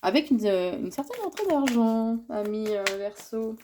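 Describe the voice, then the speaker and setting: Hesitant woman with a cold, mellow tone. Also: a little high, big pitch swings, normal speed, a little hiss or hum. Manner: hesitant, many fillers and restarts; speaks as if receiving messages. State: has a cold, congested. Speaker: tarot reader. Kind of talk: yearly horoscope reading.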